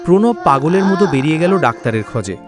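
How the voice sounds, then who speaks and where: A man's voice: fairly high pitch, animated, quick pace. A man narrating a scripted horror story in a dramatic style. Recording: loud background music. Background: prominent dramatic music.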